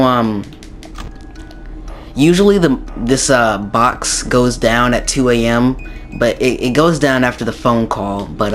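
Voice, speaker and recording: Hoarse young man with a sore throat. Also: medium pitch. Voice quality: slightly raspy. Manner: rambling, many ums and restarts. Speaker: gaming YouTuber. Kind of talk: casual game tutorial.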